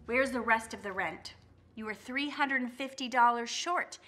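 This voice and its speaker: A woman's voice: fairly high pitch, normal speed, pushed and bright. An actress playing a rude, prejudiced landlady who makes demands and speaks with scorn. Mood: scornful. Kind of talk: scripted drama acting.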